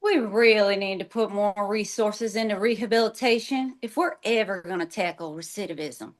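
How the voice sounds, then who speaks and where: A woman's voice: mid-range voice, normal speed, lightly acted. A woman acting an earnest, unflappable female hanger-on with a matter-of-fact manner in a script table read.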